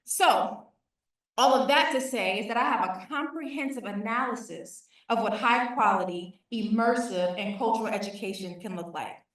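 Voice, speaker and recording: Woman founder, prepared pitch, slightly high voice, distant and echoey hall sound. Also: normal speed, fairly bright tone.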